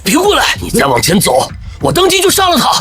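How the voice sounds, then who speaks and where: A vengeful, grieving father in an audio drama. His voice sits high and lurches widely in pitch. He speaks in bitter, menacing outbursts. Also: huge pitch swings, a little slow, fairly bright tone, faint music behind, exaggerated voice. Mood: enraged, threatening. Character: vengeful, grief-stricken father holding his enemy hostage.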